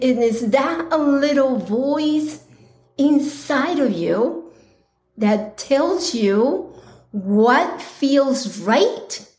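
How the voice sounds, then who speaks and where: A woman hosting her own podcast, talking earnestly with a slightly raspy, hoarse voice, pausing and restarting often. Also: a little high, darkish tone. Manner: slow, earnest, with pauses and restarts. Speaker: podcast host. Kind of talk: casual solo podcast talk.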